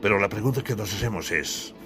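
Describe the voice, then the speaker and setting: A deep-voiced man narrates an audiobook. His delivery is solemn and literary, with a declamatory turn to his phrases. Music plays clearly behind him. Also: a little fast.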